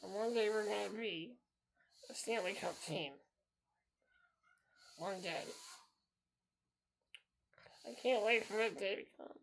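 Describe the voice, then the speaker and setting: A man's voice: high voice, takes it slowly, creaky, long pauses, fairly bright tone, slight background noise. A man in his thirties hosting a solo podcast, talking in an earnest, repetitive way and circling back to the same phrases. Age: 30s. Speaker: podcast host.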